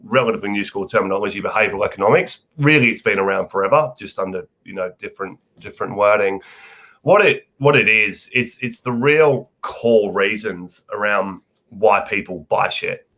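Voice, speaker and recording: Australian man, stuffy nose from a cold, low voice, rambling with lots of fillers, casual guest chat. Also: pushed and bright. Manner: rambling, many fillers and restarts. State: nasal from a head cold. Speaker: podcast guest.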